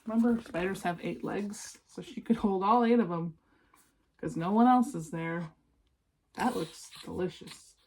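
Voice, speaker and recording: Female children's read-aloud presenter, slightly husky, gentle and encouraging, talks straight to the young listener. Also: medium pitch, a little slow. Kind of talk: expressive storybook reading with asides to young listeners.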